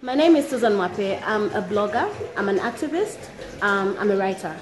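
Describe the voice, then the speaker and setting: A woman's voice: medium pitch, expressive, moderate pace, a crowd in the background. Zambian woman telling a neighborhood story. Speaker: blogger and activist. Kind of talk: personal storytelling to camera. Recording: echoey room.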